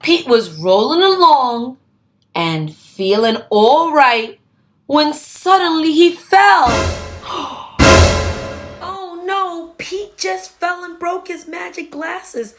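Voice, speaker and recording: Slow, high-voiced woman reading to children. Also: soft background music. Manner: expressive, simple and clearly paced for young children. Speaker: read-aloud host. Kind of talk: children's storybook read-aloud with asides to listeners.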